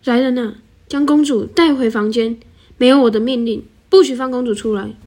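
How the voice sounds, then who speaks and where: An imperious king and controlling father, voiced by a teenage girl gives orders sternly in a radio play. The voice is youthful and slightly high. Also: steady tone, moderate pace, darkish tone, close to an everyday voice. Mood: angry, commanding.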